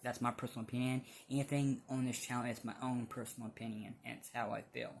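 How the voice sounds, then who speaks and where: Man, heavy vocal fry, narrow pitch range, halting and rambling, blunt, casual fan vlog commentary. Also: flat delivery, a little hiss or hum. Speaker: wrestling fan vlogger.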